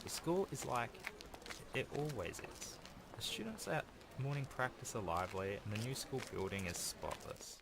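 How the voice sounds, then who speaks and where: A man's voice: medium pitch, animated, moderate pace, creaky, background noise. A man reading a visual novel aloud with casual, rambling, dry asides as a gaming host. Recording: music behind the voice. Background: game music.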